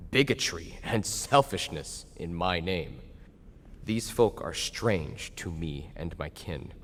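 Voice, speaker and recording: A man performing a solemn, kindly male spirit in an audio drama. His words are measured and grave, like moral instruction, and ring out in a long reverb. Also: sits low.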